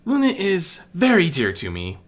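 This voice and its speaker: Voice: mid-range voice, huge pitch swings, moderate pace, voice pushed up. A performer voicing a gracious, formal princess of the sun, a magical warrior mare, in a storybook reading. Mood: anxious, apologetic. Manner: polite, formal, articulate.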